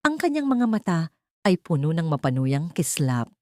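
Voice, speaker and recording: A woman's voice: low voice, big pitch swings, moderate pace, no echo, studio quality. A woman narrating a scripted audio story in a dramatic storytelling style.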